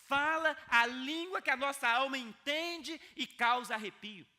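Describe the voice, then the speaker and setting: A male pastor giving an earnest video sermon, often repeating key phrases for emphasis and swinging between quiet, low passages and loud, high ones. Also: a little slow.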